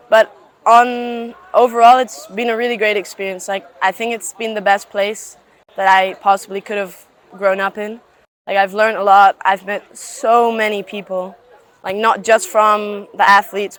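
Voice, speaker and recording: An eighteen-year-old woman from Scotland, chatting casually in an interview and often filling pauses with "like". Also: medium pitch, fairly bright tone. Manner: chatty, leans on "like" fillers. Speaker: student athlete.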